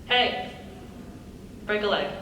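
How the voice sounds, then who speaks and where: A woman's voice: mid-range voice, expressive, slow pace. A young woman playing a sardonic, no-nonsense club woman in a staged script reading, blunt and teasing. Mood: brisk, encouraging. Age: young adult. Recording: echoey hall from far off, noisy.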